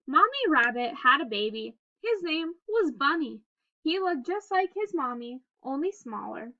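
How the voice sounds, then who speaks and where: A woman with a high-pitched, bright voice reads a picture book aloud for young children. Her delivery is expressive and simply phrased, as a storyteller's. The recording is a little dull and lo-fi. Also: unhurried. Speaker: read-aloud host reading a picture book.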